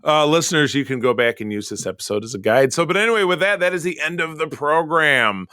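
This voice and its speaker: A hoarse man co-hosting a podcast, chatting casually and joking through a sign-off, from Michigan. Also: on the high side, expressive, fast, sharp tone, no room sound, clean studio sound. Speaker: podcast co-host. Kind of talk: casual chat.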